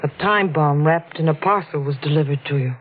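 A woman's voice: low-pitched, brisk. A woman playing an earnest, devoted young sister in a 1940s radio drama. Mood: gentle, concerned. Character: earnest, pleading young sister of a condemned man. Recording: tinny old radio recording.

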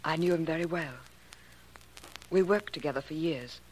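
An actress playing a composed, guarded woman scientist with brisk, direct replies in a 1940s radio drama. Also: sits low, darkish tone, lightly acted. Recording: faintly noisy 1940s radio recording.

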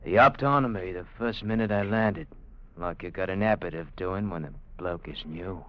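An actor playing a downtrodden, resentful little underling in a 1940s radio drama. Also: male voice, sits low, normal speed, natural voice. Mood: quietly menacing. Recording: muffled, hissy 1940s radio recording.

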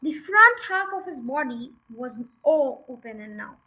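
A woman's voice: high voice, very animated, a little slow, bright, forceful tone. A woman reading a children's storybook aloud as an audiobook narrator. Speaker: audiobook reader.

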